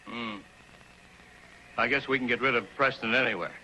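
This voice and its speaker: A man's voice: mid-range voice, fairly even intonation, moderate pace, sharp tone. An actor playing a nervous, worried outlaw henchman in a 1950s television western. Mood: uneasy. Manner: worried, agitated. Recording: noisy old 1950s TV soundtrack.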